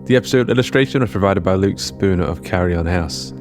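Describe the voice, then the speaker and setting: A man's voice: deep voice, fast, music in the background. A man reading a scripted podcast outro and credits as host.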